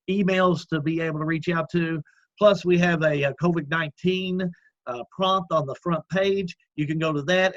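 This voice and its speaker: Loud, earnest man. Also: a little high, no echo, dull audio. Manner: earnest, rambling, many fillers and restarts. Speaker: community action executive director. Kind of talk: casual interview talk. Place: at home, remote call.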